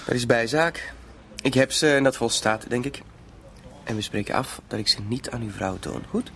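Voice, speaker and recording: A man's voice: medium pitch, normal speed, fairly bright tone, close to an everyday voice. A man playing a self-assured blackmailer in a radio drama, with a mock-polite, insinuating way of talking. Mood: smug, soothing.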